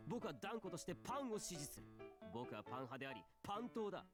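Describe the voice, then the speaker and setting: An actor playing a haughty, sharp-tongued young recluse in a Japanese visual novel, declaiming in a pompous, theatrical way. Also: male voice, high-pitched, sing-song, rapid-fire. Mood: scornful, pompous. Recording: game music behind the voice.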